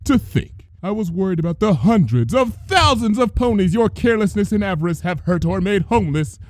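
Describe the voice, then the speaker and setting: A man's voice: high-pitched, sing-song, fairly quick, dry recording, voice pushed up. A man voicing a wrathful, wounded female alicorn pony princess in a dramatic story reading, with a grand, accusing delivery. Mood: cold, scornful. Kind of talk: dramatic fan fiction reading.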